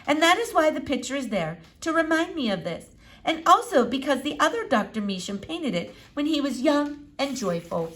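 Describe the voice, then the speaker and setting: A woman's voice: high voice, sing-song, moderate pace, fairly bright tone, pitched above the performer's own voice. A woman voicing a bossy, eccentric woman doctor in a children's storybook, with formal, stilted, commanding phrasing. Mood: wistful. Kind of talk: children's novel read-aloud character voice.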